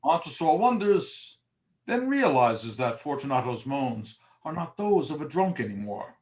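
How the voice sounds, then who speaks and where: A man's voice: on the high side, big pitch swings, measured pace, darkish tone. A man narrating a gothic horror drama from a script in a theatrical, storytelling style.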